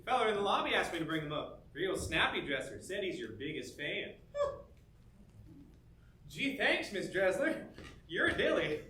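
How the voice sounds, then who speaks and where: Man as an eager high-voiced delivery boy. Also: very animated, normal speed, pauses often, bright, forceful tone, heavily acted. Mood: eager, chatty. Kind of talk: theatrical acting in a staged musical reading. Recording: distant echoey stage sound, clear noise.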